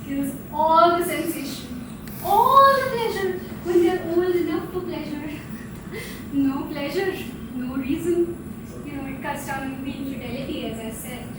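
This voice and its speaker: A very high-pitched, middle-aged wife teases with dry, frank sarcasm in a live stage drama. The voice rings through a very echoey theatre, picked up from far off with heavy background noise. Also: female voice, measured pace. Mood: provocative, blunt. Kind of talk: live stage play dialogue. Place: indoor theatre stage, recorded from a distance.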